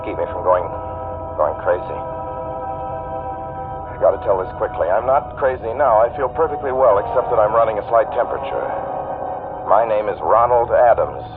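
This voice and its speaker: A man's voice: lowish voice, expressive, moderate pace, pauses often, loud music behind the voice, natural voice. A man playing a haunted, desperate motorist in his thirties in an intense 1940s radio drama. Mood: haunted, urgent. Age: 30s. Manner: urgent, intense first-person confession. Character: haunted, desperate 36-year-old male motorist telling his story. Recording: tinny vintage radio recording, faint noise.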